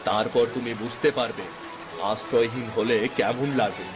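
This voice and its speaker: A man's voice: medium pitch, steady tone, normal speed, natural voice, rough recording. A man voicing village men in a dramatic folk-tale cartoon, speaking. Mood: reproachful. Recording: music behind the dialogue.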